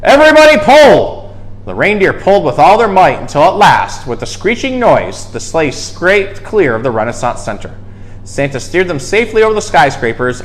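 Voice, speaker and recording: Lively, higher-voiced man reading a storybook. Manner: expressive, dramatic emphasis. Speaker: storyteller and zookeeper host. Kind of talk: animated children's storybook read-aloud.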